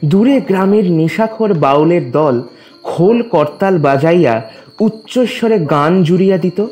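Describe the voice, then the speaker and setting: A man narrating a literary story as a scripted reading, in a formal, measured storytelling manner. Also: high-pitched, expressive, darkish tone.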